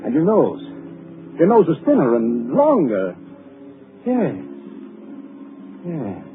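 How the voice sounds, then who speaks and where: An actor playing a deranged, obsessive doctor with a menacing, halting manner in 1940s radio thriller style. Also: male voice, on the high side, wildly swooping pitch, full character voice. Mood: menacing frenzy. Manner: halting muttering swelling into frenzied shouts. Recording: scratchy, muffled 1940s radio recording.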